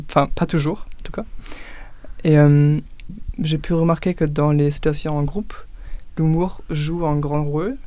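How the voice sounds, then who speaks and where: A woman's voice: low voice, mellow, no echo. A woman whose first language is German, speaking French in a casual group discussion, thoughtful and hesitant, often restarting words. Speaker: discussion participant.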